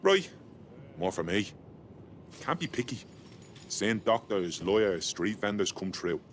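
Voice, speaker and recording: An actor playing a boozy, cynical British detainee in a video game, grumbling in a sardonic, colloquial way. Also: male voice, on the low side, sing-song, unhurried, slight background noise. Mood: sardonic, bitter. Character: cynical, boozy male detainee in dystopian city.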